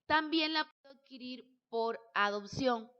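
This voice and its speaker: Colombian woman as a patient lecturer, slow delivery, smooth clear voice. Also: sits high, dull audio. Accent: Colombian Spanish. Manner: explains slowly with personal examples and checks with ¿sí?, ¿listo?. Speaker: teacher. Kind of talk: recorded class lecture.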